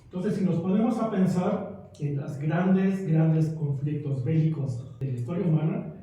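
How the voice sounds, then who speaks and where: A man's voice: fairly high pitch, unhurried, mellow, muffled recording. A man in a casual group discussion, talking in a rambling, reflective way with many fillers. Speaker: discussion participant. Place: room of a community gathering. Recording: echoey room, far from the mic.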